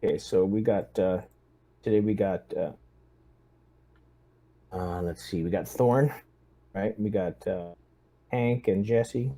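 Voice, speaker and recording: A man's voice: fairly low pitch, slow pace, pauses often, mellow. A man chatting and planning casually as a player in a tabletop game.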